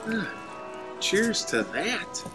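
A man in his forties filming himself ice fishing outdoors with a handheld phone, chatting in an excitable, self-deprecating way. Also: high-pitched, drawn-out words, over music. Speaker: fishing vlogger. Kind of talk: casual vlog narration. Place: outdoors on a frozen pond. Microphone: phone camera at arm's length.

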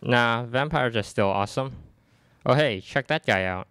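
A man's voice: mid-range voice, lively intonation, normal speed, a bit bright, clean tone, dry recording, close to an everyday voice. A young man playing a breezy, eager vampire fan in a student radio play, reading casually from a script. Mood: breezy, keen. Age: young adult. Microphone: microphone on boom stand.

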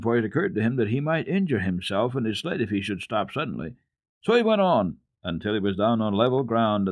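Expressive deep-voiced male storyteller. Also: dry recording. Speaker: storyteller, children's radio host. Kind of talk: dramatic children's book narration.

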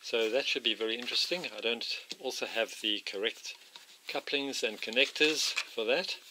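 A man talks through a do-it-yourself plumbing job at an average pitch, pausing with ums and uhs as he shows his work. He is recorded on a camera microphone in an outdoor work area. Steady noise and clicks sit clearly behind his voice. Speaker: DIY video maker. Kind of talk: hands-on home repair walkthrough. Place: outdoor workshop area by a house.

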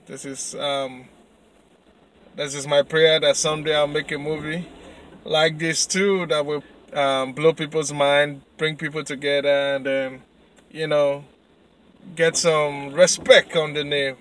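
A man in his thirties with a Nigerian accent, vlogging excitedly from his car at night into a handheld camera. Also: fairly high pitch, a little slow, slight background noise. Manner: excited, chatty. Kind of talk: casual vlog. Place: car at night, then city street. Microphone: handheld camera at arm's length.

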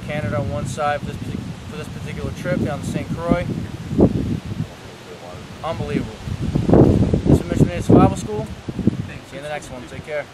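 A man's voice: a little high, a little fast, pauses often, distant mic. A man in his thirties talking casually as an outdoor vlogger, picked up by a camera microphone at a wooded riverside. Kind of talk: casual trip vlog talk. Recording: windy, noisy outdoor camcorder sound.

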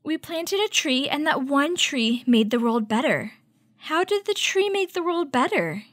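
A woman hosting a children's story time, reading a picture book aloud and teaching with clear, instructive delivery. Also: high voice, big pitch swings, a little slow, fairly bright tone, no room sound, studio quality. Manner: clear, instructive, addressing young children with questions.

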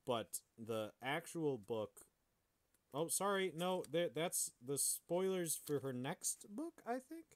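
A man's voice: a little high, big pitch swings, slow pace. A man co-hosting a podcast, bantering casually and reading aloud. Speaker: podcast host.

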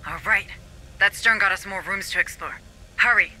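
High-voiced, earnest administrator. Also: male voice, moderate pace, bright, forceful tone, rough recording. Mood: urgent, businesslike. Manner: businesslike, inquisitive. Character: earnest, level-headed male station administrator. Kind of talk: cinematic game acting.

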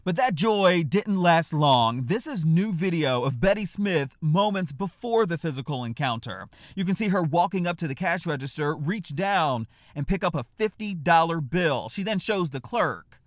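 Man, thirties, news host, high pitch, dry close recording, brisk emphatic reading. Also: lively intonation, no room sound. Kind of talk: scripted news narration. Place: home office, indoors.

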